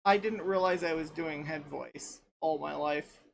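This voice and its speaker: A woman in her thirties in casual group chat, light voice without chest resonance, talking haltingly with many restarts. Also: deep voice, creaky, a little hiss or hum. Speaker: podcast panelist.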